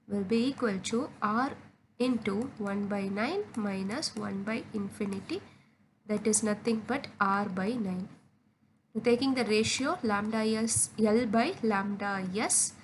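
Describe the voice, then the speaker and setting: Female tutor, medium pitch, step-by-step lesson delivery, methodical and segmented phrasing, noisy ambient recording. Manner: methodical, spelling out formulas aloud in short phrases. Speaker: physics tutor. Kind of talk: step-by-step exam solution lesson.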